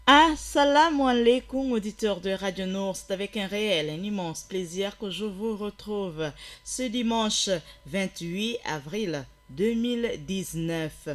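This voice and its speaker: A woman's voice: medium pitch, measured pace, few pauses. A woman presenting a live radio show, greeting listeners and introducing her crew, often rephrasing as she talks. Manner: warm greeting, rephrases herself as she goes.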